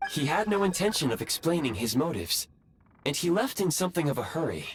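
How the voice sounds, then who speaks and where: A man's voice: a little high, big pitch swings, brisk, a crowd in the background. A man voicing a self-aware ancient talking device in a video game, formal, precise, analytical and polite. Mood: matter-of-fact.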